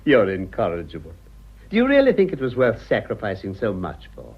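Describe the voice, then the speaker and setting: An actor playing an urbane, cynical older British gentleman in a radio drama, with dry, suave irony. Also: on the low side, wildly swooping pitch, a little fast, husky, exaggerated voice. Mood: amused. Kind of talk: radio drama acting of a stage comedy. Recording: dull lo-fi radio drama recording.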